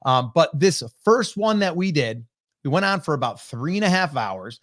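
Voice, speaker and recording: A man in his forties hosting a casual livestream podcast, chatty and upbeat with loose fillers, his voice still slightly hoarse from a cold, speaking into a desk mic on a video call. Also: a little high, sing-song, moderate pace, a bit bright. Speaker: podcast host.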